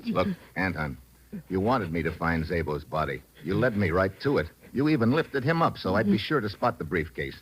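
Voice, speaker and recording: An actor playing a hard-boiled, urgent American adventurer in an old radio drama. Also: male voice, deep voice, very animated, moderate pace, slightly rough, exaggerated voice. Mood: wry.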